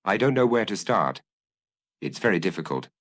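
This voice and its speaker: A man's voice: sits low, sing-song, normal speed, a bit bright, no room sound, clean studio sound. A man voicing a troubled young Frenchman in an audiobook, melancholy and hesitant as he explains himself earnestly. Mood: resigned. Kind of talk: dramatised audiobook dialogue.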